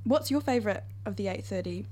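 A woman with a New Zealand accent hosting a breakfast radio show, chatting casually and upbeat with quick asides. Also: mid-range voice, expressive, background noise. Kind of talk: casual on-air chat.

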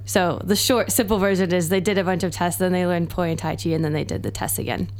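A woman's voice: fairly low pitch, fast talker, a little mellow. A young American woman, a doctoral researcher, chatting casually as a guest and laughing easily. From United States. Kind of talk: casual interview chat.

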